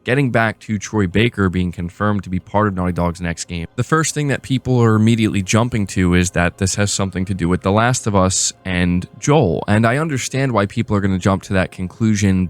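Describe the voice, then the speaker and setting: A man's voice: low voice, no room sound. A man hosting a gaming news show, moving between read-out reports and casual commentary. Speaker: host of a gaming news channel.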